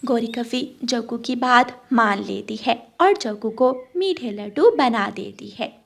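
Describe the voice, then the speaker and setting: A woman's voice: on the high side, takes it slowly, creaky. A woman narrating a scripted children's story.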